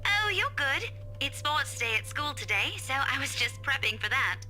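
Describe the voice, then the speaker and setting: A bright, breezy young teacher. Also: female voice, high-pitched, sing-song, moderate pace, faint music behind, full character voice. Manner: breezy, chatty. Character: bubbly young female schoolteacher, friendly. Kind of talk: game voice acting.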